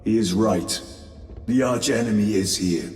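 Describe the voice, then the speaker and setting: An actor playing a stoic, battle-hardened space marine lieutenant in a video game, speaking formally, tersely and with restraint. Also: male voice, lowish voice, unhurried, darkish tone, lightly acted. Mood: stoic, curt. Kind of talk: dramatic video game cutscene acting. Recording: reverberant hall, music behind.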